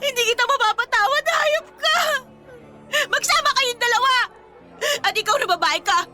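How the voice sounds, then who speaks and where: A woman's voice: extremely high pitch, expressive, moderate pace, pushed and bright, faint music behind, heavily acted. An actress with a Filipino accent playing a jealous, confrontational young woman in an emotional radio romance drama. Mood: furious, hurt. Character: jealous, fiery young woman, betrayed girlfriend.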